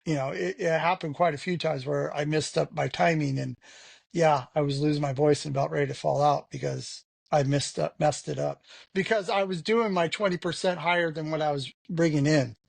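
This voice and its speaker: A man's voice: on the high side, steady tone, fairly quick, gravelly, breathy edge, no echo. A man talking frankly as an interview guest, rambling with lots of "you know" fillers. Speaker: podcast guest. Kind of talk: candid conversational interview.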